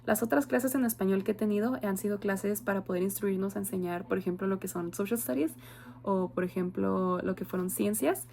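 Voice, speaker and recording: A woman's voice: medium pitch, a little fast, vocal fry, mellow. A young woman with a Mexican accent, a university student giving an earnest, reflective oral presentation with frequent hesitation fillers. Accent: Mexican Spanish.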